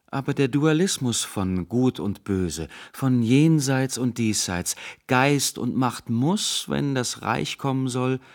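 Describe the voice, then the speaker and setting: An actor playing a severe, dogmatic intellectual zealot, a professor who lectures in cutting absolutes, in a radio drama. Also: male voice, medium pitch, vocal fry, darkish tone, full character voice. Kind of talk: German radio drama acting.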